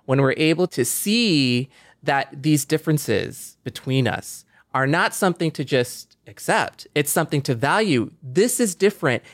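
A man's voice: a little high, lively intonation, measured pace, no room sound, studio quality. A man co-hosting a podcast, discussing things reflectively and earnestly, repeating words as he thinks. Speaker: podcast co-host.

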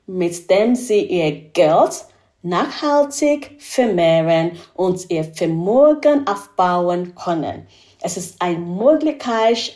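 A woman who is a native English speaker, speaking accented German haltingly and searching for words as an interview guest. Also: on the low side, darkish tone. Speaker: podcast guest, financial adviser. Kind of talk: interview answers in a second language.

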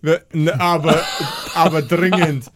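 A man's voice: on the high side, fairly even intonation, unhurried. A man improvising narration as game master of a tabletop audio play, often searching for his words. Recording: music behind the voice. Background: music.

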